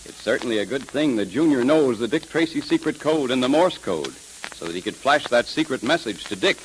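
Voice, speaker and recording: A man's voice: mid-range voice, animated, fairly quick, rough recording. A man with an American accent announcing in emphatic, polished 1930s radio style, selling as he goes. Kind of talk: 1930s radio announcing, scripted sales pitch. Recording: hissy 1930s radio recording.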